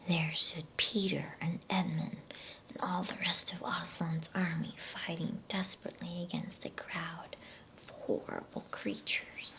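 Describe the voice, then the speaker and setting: A woman softly whispering an ASMR bedtime story, reading in a slow, soothing manner with pauses between phrases. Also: on the low side, fairly even intonation, rough recording.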